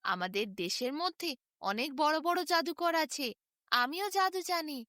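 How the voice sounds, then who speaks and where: A woman's voice: high voice, lively intonation, a little slow, sharp tone, no echo, studio quality, exaggerated voice. A woman voicing a kind, brave young village girl in a storybook, earnest and confident. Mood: reassuring, proud. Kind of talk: storybook character voice.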